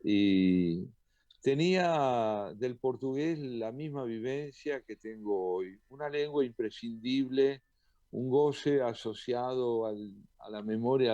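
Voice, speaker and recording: A man answers questions as a poet and translator, thoughtful and measured. He pauses now and then with small hesitant fillers. His voice is mid-pitched and sounds dry and close to the mic. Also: dark tone, no room sound, highs cut off. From Argentina. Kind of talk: reflective interview answers.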